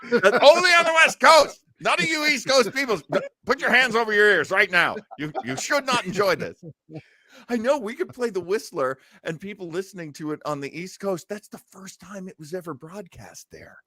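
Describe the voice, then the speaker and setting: A man hosts a loose, joking conversation with a bright, forward voice that sits on the high side. His delivery is theatrical and effusive, with lively swings in pitch, and the recording is close and dry. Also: moderate pace, no echo. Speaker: host. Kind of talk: casual chat.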